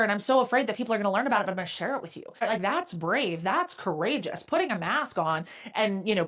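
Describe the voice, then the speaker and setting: A woman's voice: mid-range voice, a bit bright. A woman in her forties hosting a solo motivational podcast, candid and emphatic, often asking "right?" and swearing casually. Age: 40s. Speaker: podcast host and business mentor.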